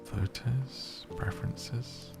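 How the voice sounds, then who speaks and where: A man's voice: medium pitch, very slow, gravelly. A man casually commenting on a relaxing game as he plays, chatty and easygoing, speaking straight to his viewers. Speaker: gaming YouTuber. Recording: game music playing behind. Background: soft game music.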